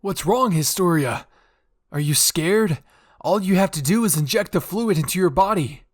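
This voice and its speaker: A man's voice: high-pitched, lively intonation, normal speed, no room sound, studio quality, voice pushed up. A man voicing a pushy, fanatical royal father with a grandiose, fervent delivery in dramatic fan fiction roleplay. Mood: urgent, pressing.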